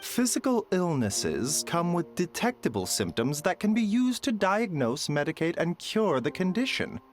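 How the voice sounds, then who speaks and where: Gentle soft-spoken doctor. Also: male voice, high-pitched, very animated, soft background music. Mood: calm. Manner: measured, polite, formal.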